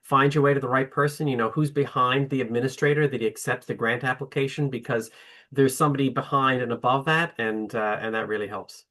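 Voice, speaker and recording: A man's voice: medium pitch, fairly even intonation, a little fast, bright, forceful tone. A man speaking on a webinar panel about his orchestra, hesitant, with many fillers and restarts. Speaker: orchestra representative.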